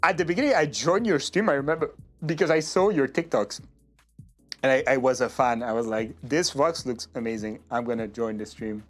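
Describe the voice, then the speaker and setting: A man's voice: medium pitch, fairly quick, soft background music. A man from France with a French accent, hosting a casual, playful interview chat in English. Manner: playful, laughs often. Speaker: interview host and streamer.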